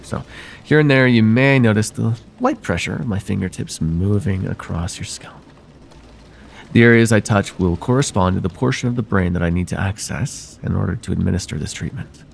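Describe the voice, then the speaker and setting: A man playing a soothing, quietly possessive vampire therapist in an ASMR roleplay, soft-spoken and deliberate, with formal wording and hypnotic pauses. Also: lowish voice, gravelly, a little hiss or hum. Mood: coaxing, possessive. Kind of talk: ASMR roleplay, slow intimate monologue.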